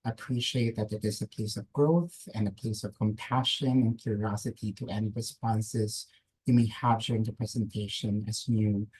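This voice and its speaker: A man whose first language is Filipino speaks in a mellow, relaxed tone. He leads a webinar audience through a calm grounding exercise at a measured, invitational pace. He is heard through a computer mic on a video call. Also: on the low side, slightly rough, low-quality recording. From Philippines. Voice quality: slow, measured, gentle invitational delivery. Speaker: guest speaker, nurse practitioner.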